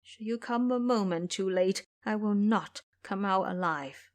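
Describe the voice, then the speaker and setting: A woman's voice: medium pitch, a little slow, a little mellow, natural voice. A woman voicing a helpless, lamenting male monk held captive, sorrowful and pleading, in an audio drama. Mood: despairing.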